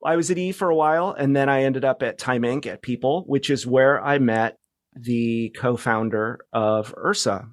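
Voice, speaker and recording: A man's voice: medium pitch, moderate pace, no echo, clean studio sound. A middle-aged American man from California, recounting his career casually as an interview guest. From California, United States. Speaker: podcast guest, journalist.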